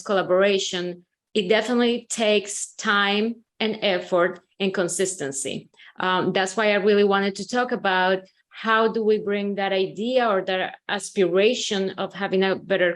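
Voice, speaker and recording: A woman from Colombia, a company CEO, explaining her consulting approach in a webinar with steady, measured speech and frequent "um"s. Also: medium pitch. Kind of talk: webinar presentation.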